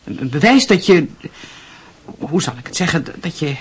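An actress playing a meddling middle-aged aunt, breathy and hesitant, earnestly reproachful in a radio drama. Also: female voice, deep voice, big pitch swings, normal speed, exaggerated voice. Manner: hesitant, moralising, insinuating.

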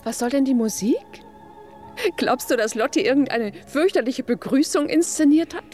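An actress playing a self-assured wife in a radio drama. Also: female voice, high-pitched, moderate pace, warm tone, close to an everyday voice. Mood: surprised, teasing. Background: music.

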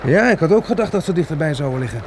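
Actor as determined, caring survivor, animated, noisy recording. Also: male voice, on the high side, rapid-fire, lightly acted. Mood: practical, hopeful. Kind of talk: Dutch radio play acting. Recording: noisy old radio drama recording.

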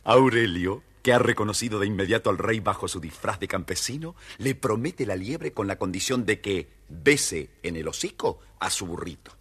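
A man narrates a children's story theatrically. His voice is low and very husky, and he moves playfully up and down for effect. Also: expressive, moderate pace, few pauses, fairly bright tone.

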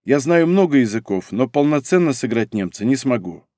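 A humble male shinobi servant in a storybook, speaking formally and apologetically, as a man reads him. The voice is mid-pitched and closely miked, with no room around it. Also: very animated, fairly quick, mellow, no echo, studio quality, close to an everyday voice. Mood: apologetic.

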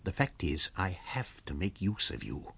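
A domineering invisible villain from a storybook, voiced by a man with a low, rough-edged tone. He speaks tersely and with menace, giving orders close to the listener in a dry recording. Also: big pitch swings, a little slow, a bit bright, dry recording, full character voice. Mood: quiet menace. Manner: commanding, threatening, terse.

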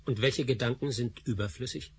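A man's voice: mid-range voice, a little fast, a little hiss or hum, natural voice. An actor playing an anxious male scientist who voices ethical worries, in a radio drama. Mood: uneasy. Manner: worried, objecting. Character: scientist Spassky, anxious male researcher with qualms.

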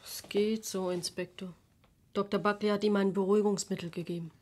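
An actress playing a worried, protective wife in a radio crime drama, speaking politely and with concern. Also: female voice, mid-range voice, steady tone, a little fast, darkish tone, close to an everyday voice. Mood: polite, subdued.

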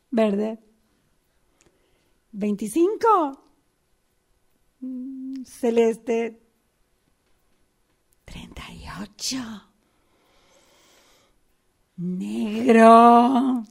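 A woman's voice: on the high side, lively intonation, very slow, pauses often. A woman doing a radio acting exercise, saying single words separately. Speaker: radio drama workshop student. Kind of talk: acting exercise, reciting colours and numbers.

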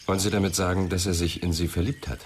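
Man acting a low-voiced, probing police inspector. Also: level tone, fast talker, a little hiss or hum, close to an everyday voice. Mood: sceptical. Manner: probing, terse questioning. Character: persistent, sceptical male police inspector. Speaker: actor in a radio crime drama. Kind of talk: German radio drama dialogue.

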